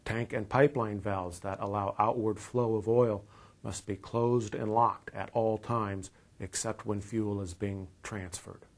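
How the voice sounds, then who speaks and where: A man in his fifties with an American accent presents scripted training material in a measured, explanatory way. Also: lowish voice, fairly even intonation. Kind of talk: scripted instructional narration. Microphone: lapel mic.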